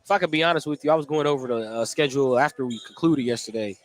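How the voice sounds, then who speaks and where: A man's voice: mid-range voice, very fast, no echo. A man doing live play-by-play radio commentary on a basketball game, describing the action in short, clipped phrases. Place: indoor school gymnasium during a game. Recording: noisy live gym, clicks and ball bounces. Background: loud game noise, bouncing basketball.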